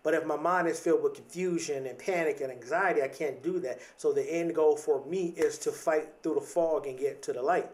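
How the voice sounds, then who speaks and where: A man's voice: fairly high pitch, fairly quick, few pauses, a little mellow, recorded from a distance. A man in his thirties talking in a reflective, casual podcast monologue, often repeating and restarting words, with casual slang. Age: 30s.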